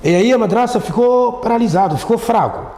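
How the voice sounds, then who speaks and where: Mellow-voiced Mozambican man in an echoey room. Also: very high-pitched, expressive, brisk. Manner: repetitive, often ending on tag questions. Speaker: interview guest, Islamic teacher. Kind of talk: conversational storytelling in an interview. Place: echoey studio. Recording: roomy echo, faint background noise.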